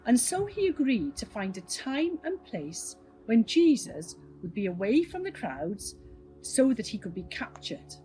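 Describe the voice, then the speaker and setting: Higher-voiced, lively woman telling a children's story. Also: unhurried, soft background music, rough recording. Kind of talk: expressive children's story reading.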